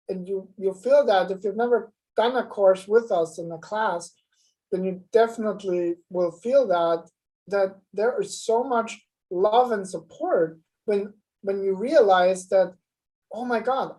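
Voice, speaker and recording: A woman's voice: mid-range voice, warm tone, rough recording. A woman on a live web show speaking earnestly about fear and shame, often repeating words as she goes.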